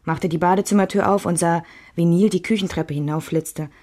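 A woman's voice: lowish voice, steady tone, a little fast, dark tone, no echo, clean studio sound, natural voice. An actress playing a weary wife and mother who recalls her troubled marriage in restrained, literary radio play narration. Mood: restrained, reflective.

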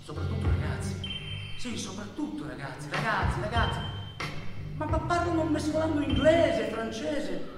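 An actor playing an intense traveller who recounts stranded migrants' hardships in a halting, repetitive theatrical monologue. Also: male voice, extremely high pitch, big pitch swings, over loud music. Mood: excited, hesitant. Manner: halting, repetitive delivery, swinging between shouts and murmurs. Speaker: stage actor. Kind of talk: dramatic theatrical monologue in Italian. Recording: very echoey hall, distant microphone.

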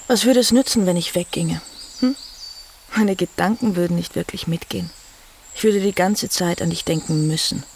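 An actress in a radio drama playing a disillusioned young upper-class wife, hesitant, restrained and melancholy, with a creaky voice. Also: female voice, lowish voice, moderate pace, darkish tone, natural voice. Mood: dejected, defensive. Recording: chirping insect noise behind the voice.

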